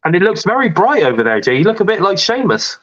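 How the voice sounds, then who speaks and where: Male podcast host, bunged up with a cold, slightly high voice, chatty and rambling, dull lo-fi mic. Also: sing-song, quick pace, crowd noise. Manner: rambling, chatty, many fillers. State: congested with a cold. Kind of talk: casual podcast chat.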